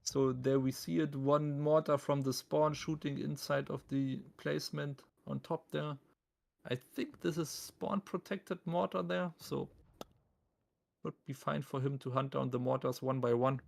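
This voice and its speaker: A man's voice: mid-range voice, steady tone, measured pace, gravelly. A man with a thick German accent doing live esports commentary on a game, casual, joking and self-deprecating as he talks to his viewers.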